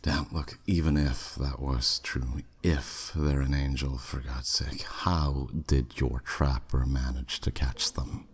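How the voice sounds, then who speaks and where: An exceptionally deep-voiced demon played by a man speaks slowly, with a husky rasp. He is a haughty, flirty buyer in an ASMR audio drama, drawling with sardonic, dismissive skepticism. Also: level tone, heavily acted. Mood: cool, skeptical. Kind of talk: scripted ASMR audio roleplay.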